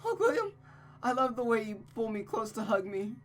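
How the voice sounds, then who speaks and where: A woman's voice: sing-song, normal speed. A woman voicing an unhinged, lovesick young noblewoman in a visual novel read-aloud, with a frantic, volatile manner. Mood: lovesick, adoring. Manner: shrill, pleading, prone to shrieking.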